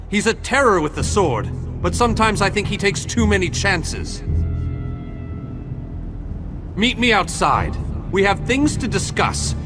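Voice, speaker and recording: A formal dramatic wizard leader, highish lively voice, echoing hall, music. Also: male voice. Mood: brisk command. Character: commanding male mage leader, stern, refined and earnest. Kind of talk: fantasy game character acting. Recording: hall reverb with music behind.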